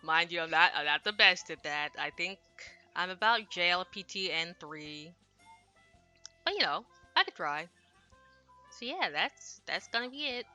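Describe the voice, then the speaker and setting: A woman's voice: lowish voice, expressive, unhurried, sharp tone, soft background music. A woman streamer chatting casually on a livestream in a rambling, self-deprecating, apologetic way, speaking into a headset. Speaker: streamer translating a Japanese visual novel.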